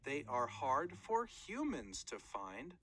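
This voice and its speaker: A woman's voice: extremely low pitch, very animated, slow pace, fairly bright tone. A woman reading a children's nonfiction book aloud in short, careful phrases, like a read-aloud narrator.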